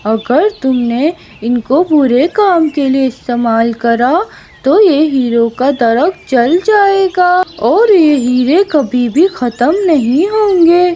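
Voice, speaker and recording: A benevolent unseen tree spirit from a cartoon, voiced by a woman. The voice is mellow and solemn, delivered slowly over faint ambient noise. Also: sits high, expressive. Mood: solemn, kind.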